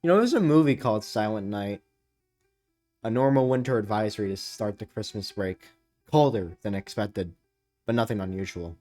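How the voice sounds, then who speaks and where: A man's voice: on the low side, lively intonation, moderate pace, darkish tone, faint music behind. A man hosting a reaction video, reading captions aloud and adding casual commentary.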